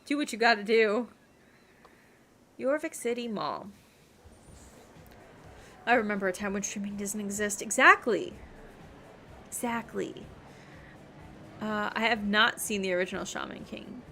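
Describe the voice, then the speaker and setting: A woman's voice: medium pitch, unhurried, pauses often, bright, forceful tone, soft background music. A woman livestreaming a game, chatting and rambling casually and reading things aloud as she plays. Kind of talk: casual stream chat and game commentary.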